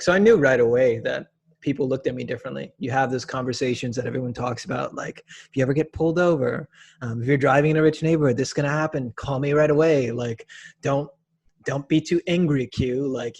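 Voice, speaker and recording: A young man from Minneapolis talking as a podcast guest, casual and animated, blunt, with slang. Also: medium pitch, fairly quick, vocal fry, breathy edge. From Minneapolis, USA. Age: young adult. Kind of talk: casual conversation.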